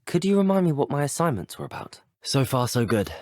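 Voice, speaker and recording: A man voices a courteous, resolute young student wizard for a video game. He is well-spoken with an English accent, his pitch moves in a lively way, and the sound is dry. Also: brisk, no room sound, close to an everyday voice. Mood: earnest. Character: polite, resolute teenage boy, a student wizard.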